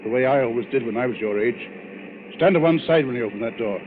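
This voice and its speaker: Casting: a man to play an older, patronising man of wealth, gruff and blustery, medium pitch, vintage radio thriller. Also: expressive, fast, slight background noise, natural voice. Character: blustering, crusty older rich businessman, demanding and patronising. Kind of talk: 1940s radio thriller acting. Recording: muffled vintage radio recording with music.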